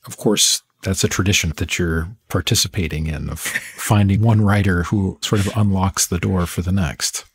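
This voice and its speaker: A man's voice: sits low, steady tone, moderate pace, few pauses, breathy edge, dry recording. A man hosting a conversational literary interview, speaking as the interviewer. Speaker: podcast host interviewer.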